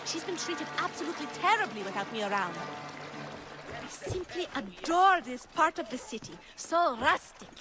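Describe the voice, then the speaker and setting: A woman voicing a spoiled, playful young noblewoman of ancient Egypt in a game cutscene, with an airy, haughty, teasing manner. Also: high-pitched. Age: young adult. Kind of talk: dramatic game cutscene acting. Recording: music behind the voice.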